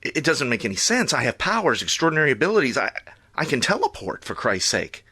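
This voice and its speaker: An actor playing a sardonic, middle-aged superhero in an audio drama. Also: male voice, mid-range voice, big pitch swings, fast, pushed and bright, breathy edge, exaggerated voice. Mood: incredulous, agitated. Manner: sardonic, incredulous. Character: exasperated, sarcastic aging male superhero facing decline.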